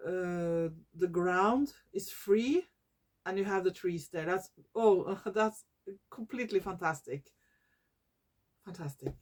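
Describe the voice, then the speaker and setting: A Norwegian woman vlogging in English, her second language, often pausing to search for words and correcting herself. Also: lowish voice, darkish tone. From Norway. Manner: slow and searching for English words, many fillers and self-corrections. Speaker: YouTuber. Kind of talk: casual talking-head vlog.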